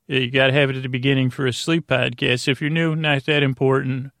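A man hosting a sleep podcast, delivering a rambling bedtime monologue in a halting, self-interrupting way that drifts into tangents. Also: mid-range voice, flat delivery, creaky, a bit bright, no room sound, studio quality. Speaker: podcast host.

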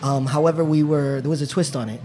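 Animated New York man in his thirties. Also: fairly high pitch, quick pace, slight background noise. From New York, United States. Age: 30s. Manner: animated storytelling, fillers, laughs. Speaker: poet, interview guest. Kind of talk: conversational interview.

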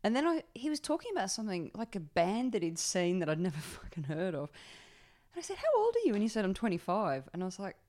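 A woman, a comedian telling lively, sweary stories in a casual podcast chat. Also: medium pitch, fast talker. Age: 40s. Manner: lively storyteller, swears, laughs often. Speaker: comedian guest.

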